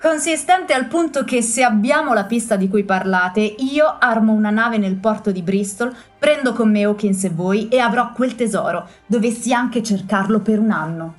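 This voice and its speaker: Brief: a woman playing a blustering, boastful gentleman, loud and raised in pitch, faint music under. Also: moderate pace, hardly any pauses, natural voice. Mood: excited. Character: blustering hot-tempered gentleman, a man. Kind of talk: audiobook character voice.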